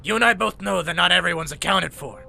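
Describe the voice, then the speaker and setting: An aging robot medic, played by a man in a motion comic, reflective and persuasive. The voice is high, extremely gravelly and piercingly bright. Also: fast, a little hiss or hum, full character voice. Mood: wistful, persuasive. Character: aging male robot medic planning a swan song.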